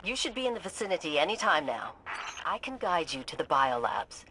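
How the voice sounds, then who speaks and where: A woman playing a composed, scholarly researcher in a video game, speaking in a measured, precise way. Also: on the low side, big pitch swings, bright, forceful tone, a little hiss or hum, low-quality recording. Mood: calm, helpful. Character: composed scholarly female researcher guiding warriors remotely.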